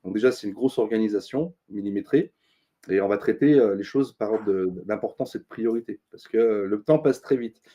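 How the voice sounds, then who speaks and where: Mellow-voiced man giving advice. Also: fairly low pitch, fairly quick. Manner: thoughtful, many fillers and restarts, earnest advice-giving. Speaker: interview guest, business consultant. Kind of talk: casual interview talk.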